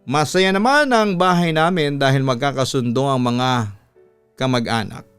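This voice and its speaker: A Filipino man narrating a scripted horror story as a storytelling host, in a measured, deliberate way with drawn-out words. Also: medium pitch, a bit bright, clear, resonant tone, no echo. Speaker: radio announcer and storyteller, host. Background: background music.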